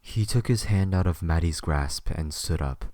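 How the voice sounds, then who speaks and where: A man's voice: deep voice, moderate pace, warm tone, dry recording, studio quality. A man reading scripted third-person story narration as the narrator of an audio drama.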